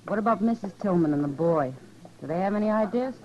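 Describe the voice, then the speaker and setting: A world-weary Old West saloon woman, played by an actress, wry yet sympathetic, with a mid-pitched voice. It comes from a muffled vintage radio western. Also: expressive, quick pace, darkish tone, lightly acted. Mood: sympathetic, wistful. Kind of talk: 1950s western radio drama acting.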